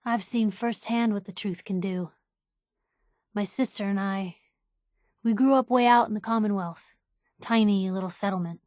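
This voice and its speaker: A woman's voice: mid-range voice, normal speed, long pauses, darkish tone, no echo, studio quality, lightly acted. An actress playing a feisty, wisecracking young woman reporter in a video game, brash and sardonic in a conversational way. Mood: candid. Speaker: game companion character, a reporter. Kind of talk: acted video game dialogue.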